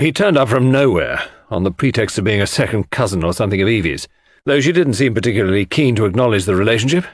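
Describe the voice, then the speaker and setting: Male reader as exasperated English country gentleman, outspoken, slangy, upper-class, lively pitch, dry recording, audiobook dialogue. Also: no room sound.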